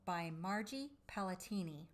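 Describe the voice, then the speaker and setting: A woman reading a children's picture book aloud expressively, with theatrical drawn-out emphasis and dramatic pauses. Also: medium pitch, lively intonation. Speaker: storybook reader for children.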